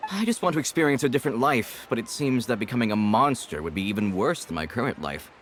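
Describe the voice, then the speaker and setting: A man's voice: medium pitch, expressive, quick pace, fairly bright tone, slight background noise, natural voice. A man playing a discontented, skeptical man in a video game, musing and grumbling about wanting another life. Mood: pensive. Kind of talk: video game dialogue acting.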